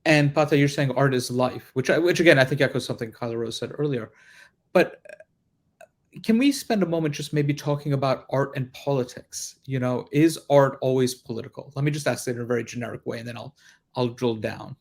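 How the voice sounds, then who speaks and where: A man moderating a panel discussion, thinking aloud in reflective, articulate and deliberate phrases. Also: mid-range voice, creaky. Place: live panel discussion at a festival.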